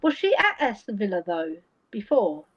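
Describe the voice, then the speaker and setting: A woman's voice: mid-range voice, sing-song, moderate pace. A woman from England with an English accent, chatting casually and reacting as she watches a live football match. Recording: dull, lo-fi stream audio.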